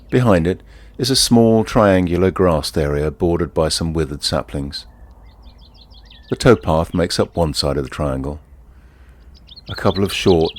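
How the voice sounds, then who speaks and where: An actor playing a wry, easygoing ordinary bloke who confides in the listener in a leisurely first-person monologue. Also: male voice, low-pitched. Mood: tranquil, musing. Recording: outdoor ambience with birdsong behind. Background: birdsong and outdoor ambience.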